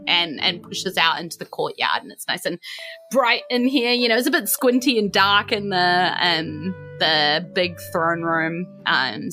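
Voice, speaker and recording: A woman's voice: on the low side, bright, forceful tone. A woman with a New Zealand accent narrating a tabletop game as game master, chatty and informal.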